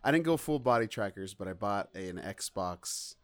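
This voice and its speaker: A man's voice: lowish voice, lively intonation, moderate pace, no room sound. A man co-hosting a podcast, chatting casually in a rambling, self-mocking way. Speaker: podcast co-host. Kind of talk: casual chat.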